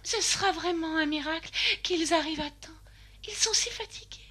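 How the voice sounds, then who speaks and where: A woman's voice: very high voice, moderate pace, fairly bright tone. A woman voicing an anxious young helper in a French cartoon dub. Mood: hushed concern.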